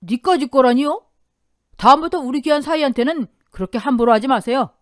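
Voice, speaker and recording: A woman's voice: high voice, fairly quick, no room sound, studio quality, voice pushed up, close to an everyday voice. A woman voicing an older man, a protective father-in-law, speaking in a firm and hearty way. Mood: stern.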